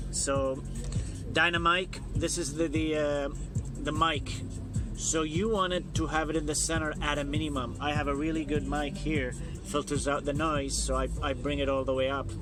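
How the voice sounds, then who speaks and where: A man with a slightly high voice walks through an equipment setup informally, one step at a time. His way of talking is plain and instructional, and music plays clearly behind him in a vehicle cab. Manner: plain-spoken, step-by-step explaining. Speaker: instructor demonstrating radio equipment. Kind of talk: informal hands-on tutorial.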